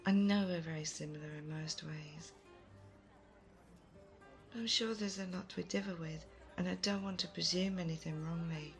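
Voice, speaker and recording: A woman playing a low, creaky-voiced, self-doubting kind leader. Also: moderate pace, faint music behind. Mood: fond, thoughtful. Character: thoughtful, self-doubting, kind female leader, shy and grateful. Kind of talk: immersive audio roleplay addressed to the listener.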